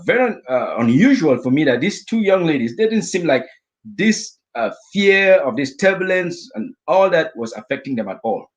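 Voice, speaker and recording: A middle-aged man from Africa telling a vivid personal story as a guest speaker, acting out his own inner thoughts in lively asides. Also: fairly high pitch. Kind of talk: storytelling talk.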